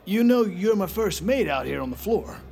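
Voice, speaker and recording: A man's voice: high voice, big pitch swings, normal speed, creaky, a little mellow, heavily acted. An actor playing a pompous, leering little bar boss who needles his staff in an audio drama. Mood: pompous scolding.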